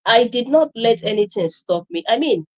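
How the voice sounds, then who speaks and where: A woman's voice: a little high, expressive, normal speed, dull audio. A woman from Nigeria with a Nigerian accent, a guest on a live stream, talking emphatically with many fillers and restarts.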